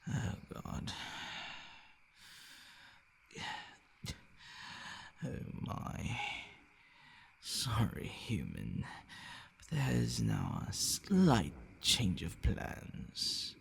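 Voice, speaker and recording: A man playing a possessive, menacing vampire in an intimate ASMR roleplay, murmuring and whispering in a gravelly, commanding, condescending way. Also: wildly swooping pitch, drawn-out words, full character voice. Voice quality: gravelly, breathy low murmur dropping into whispers. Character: possessive, menacing male vampire toying with his captive.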